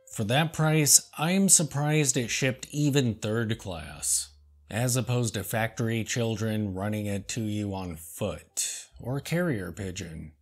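Man, deadpan comedic host, sarcastic, unhurried drawl, average pitch, faint background music. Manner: dry, sarcastic, slow and deliberate. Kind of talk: deadpan comedic commentary reading reviews.

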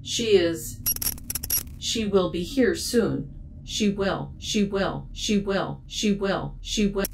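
A woman's voice: medium pitch, takes it slowly, vocal fry, slight background noise, low-quality recording. An actress playing a malfunctioning female computer intelligence in an audio drama, stuttering and repeating fragments of gibberish. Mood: cryptic, glitching. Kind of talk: scripted audio drama acting.

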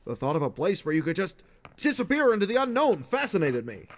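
A man's voice: high voice, sing-song, brisk. A man voicing an eccentric, obsessive middle-aged paranormal researcher, reading his journal as dramatic audiobook narration in an erudite, emphatic way. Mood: reflective. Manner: theatrical, erudite, emphatic delivery.

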